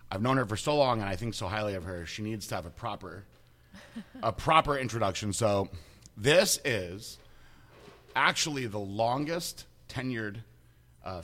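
A man's voice: on the low side, bright, forceful tone. A man hosting a casual interview, upbeat and chatty with frequent restarts. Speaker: host.

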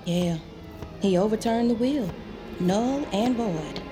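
A practical servant woman of the 1860s talks. She sounds well informed, in audio drama style, with music clearly behind her. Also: mid-range voice, expressive, takes it slowly. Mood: sober, reluctant.

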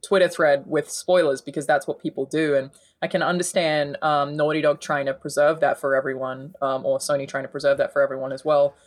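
A young adult Australian woman with a fairly deep voice, talking in a casual podcast chat and laughing often. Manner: laughs often, chatty and animated. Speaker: podcast co-host.

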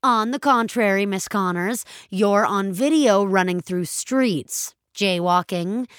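A woman's voice: mid-range voice, takes it slowly, a bit bright, clean tone, no room sound, clean studio sound. A woman voicing a weary male public defender, officious and coaxing, in an audio fiction story.

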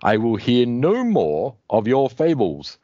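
A man's voice: medium pitch, wildly swooping pitch, slow, mellow, dry recording, voice pushed up, exaggerated voice. A man doing a gloating villain warlord. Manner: gloating, menacing monologue. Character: villainous male alien-hating warlord. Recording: dull lo-fi dry recording.

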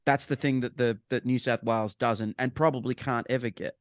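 A man's voice: mid-range voice, steady tone, brisk, no echo, studio quality. A man with an Australian accent chatting casually as a podcast co-host, rambling and restarting his phrases, with bursts of emphasis. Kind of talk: casual sports chat.

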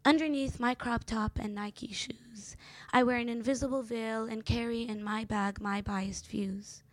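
A woman's voice: fairly high pitch, fairly even intonation, measured pace, no room sound, low-quality recording. A woman in her twenties from Jordan, a native Arabic speaker with an American accent, performing spoken word poetry with rhythmic emphasis. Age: 20s. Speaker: poet reader.

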